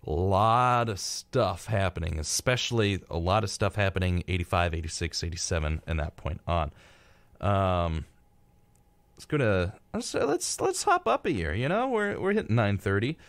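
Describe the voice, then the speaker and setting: A man with a very low-pitched voice chats casually as a radio co-host. He rambles and drops in wry reactions as he goes. The sound is close and dry, as in a studio. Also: big pitch swings, normal speed, dry recording. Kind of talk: casual, chatty live radio talk. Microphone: close studio microphone.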